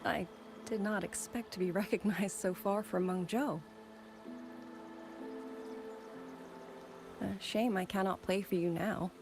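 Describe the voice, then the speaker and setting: An actress playing a grieving former musician turned soldier in a video game, speaking softly and measuredly with wistful resolve, over background music. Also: female voice, mid-range voice, fairly even intonation, moderate pace, natural voice. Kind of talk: dramatic video game voice acting.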